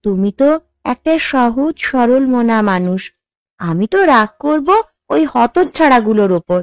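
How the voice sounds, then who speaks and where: A woman's voice: on the high side, lively intonation, measured pace, no room sound, clean studio sound. A woman voicing a shrewd, protective young village wife with a firm, instructing manner in a cartoon. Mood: knowing, reassuring. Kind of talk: Bengali cartoon voice acting.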